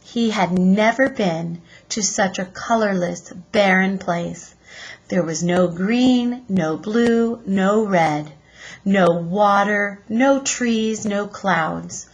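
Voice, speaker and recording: Female read-aloud teacher, unhurried and slightly rough-voiced, telling a chapter book to children with expression. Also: medium pitch, rough recording. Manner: deliberate, expressive storytelling.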